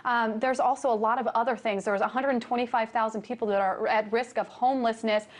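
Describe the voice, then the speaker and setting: A woman's voice: on the high side, fairly even intonation, fast talker. A woman anchoring a television news discussion, putting questions to guests in a conversational broadcast style.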